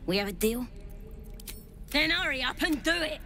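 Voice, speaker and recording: A defiant goblin girl from a game, high swoopy voice, taunting, music behind. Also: animated, moderate pace, creaky, bright, forceful tone, heavily acted. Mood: desperate bargaining. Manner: taunting, scrappy. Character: defiant, sharp-tongued female goblin prisoner. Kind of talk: video game voice acting. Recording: music over voice.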